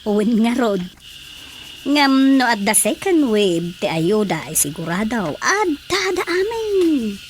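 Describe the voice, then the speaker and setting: An actress playing a gossipy, teasing village council colleague in a radio drama comedy, with a Filipino accent. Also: female voice, on the high side, big pitch swings, slow. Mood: chatty, teasing. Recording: faint background noise.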